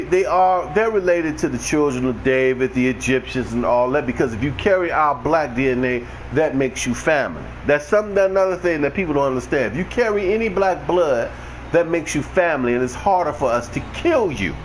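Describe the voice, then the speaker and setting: A man's voice: a little high, lively intonation, normal speed, a little hiss or hum, low-quality recording. A man giving a blunt, ranting, preachy monologue to his livestream viewers over a headset mic. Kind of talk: unscripted monologue.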